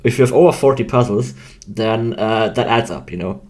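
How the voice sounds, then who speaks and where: A man's voice: fairly low pitch, moderate pace, darkish tone. A young man of about twenty with an Austrian German accent, chatting casually and hesitantly on a livestream, rambling and interrupting himself. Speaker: game developer hosting a livestream. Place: indoors at a computer desk.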